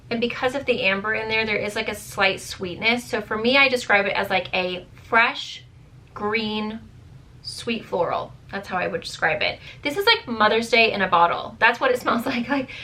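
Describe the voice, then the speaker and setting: A woman reviewing beauty products to camera in a chatty, rambling way, full of fillers, her voice strained and going hoarse. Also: medium pitch, pushed and bright. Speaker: beauty vlogger.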